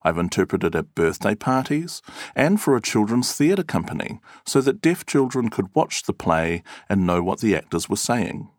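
A man reads interview answers from a script. His low voice moves through a wide, animated pitch range, and the delivery is clear and measured. The recording is dry and close. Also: no echo. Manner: clear, measured and expressive, acting out example words. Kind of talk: scripted read-aloud.

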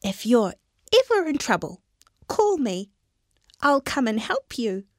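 Woman voicing a tiny mouse, squeaky, very high, frightened, pleading, dry close sound. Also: sing-song, slow, dry recording, heavily acted. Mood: grateful. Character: tiny frightened male mouse, eager to help.

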